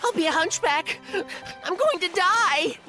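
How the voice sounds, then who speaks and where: A man's voice: very high voice, animated, unhurried, bright, forceful tone, soft background music, pitched above the performer's own voice, exaggerated voice. A man voicing a sickly, frightened boy who gasps and chokes out his words in a storybook reading. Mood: hysterical. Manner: choking, gasping.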